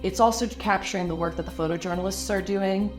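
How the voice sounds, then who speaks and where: A woman from Lebanon, an artist, speaking in a documentary interview, reflective and halting, often restarting her sentences. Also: mid-range voice, steady tone, faint music behind.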